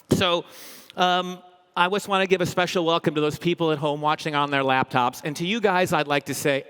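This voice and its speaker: A man's voice: fairly high pitch, moderate pace, clean tone. Upbeat male event host in echoey hall. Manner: upbeat, energetic, joking. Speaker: comedian hosting a corporate event. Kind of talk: humorous live stage presentation. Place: large indoor event hall, live audience. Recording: echoey big hall, long reverb.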